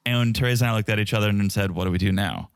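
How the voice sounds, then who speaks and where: A man's voice: on the low side, racing, clean tone, dry recording, studio quality. A man with a North American accent co-hosting a podcast, chatting casually with a playful, teasing manner. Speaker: podcast co-host. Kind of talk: casual banter and TV recap chat.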